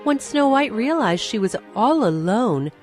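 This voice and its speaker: A woman narrating a scripted fairy tale for children, expressive and carefully phrased. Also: on the high side, unhurried, over music. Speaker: storyteller.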